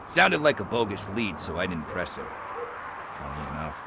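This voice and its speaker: Deep-voiced, wheedling junkie informant. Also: male voice, sing-song, normal speed, background noise. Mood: reflective. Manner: slangy, folksy, hesitant street talk. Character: chatty, wheedling male junkie street informant and petty thief. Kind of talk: video game cutscene acting.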